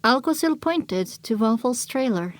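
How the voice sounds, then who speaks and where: A woman's voice: fairly high pitch, lively intonation, a little slow, no room sound. A woman reading scripted first-person story narration for an audio drama.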